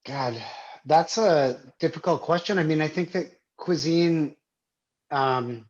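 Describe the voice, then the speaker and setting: An American man chats casually over a video call, laughing at himself as he goes. His talk wanders, with frequent fillers and restarts. His voice sits at an ordinary middle pitch. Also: slow, lo-fi sound. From Pittsburgh, United States. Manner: rambling, self-deprecating, filler-heavy talk. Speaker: chef and cookbook author.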